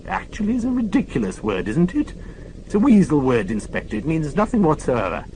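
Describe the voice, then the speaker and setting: A woman's voice: deep voice, big pitch swings, brisk, mellow, slight background noise, voice pushed up, low-quality recording. A man reading an audiobook, voicing a deaf former head nurse in her eighties who talks in a precise, lecturing way. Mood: pedantic. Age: 80s.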